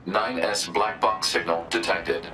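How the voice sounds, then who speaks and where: An actor playing a flat, emotionless support robot in a video game, reporting in clipped, formal, affectless phrases. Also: male voice, sits low, a little slow, rough recording. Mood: detached, procedural. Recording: loud music over the voice.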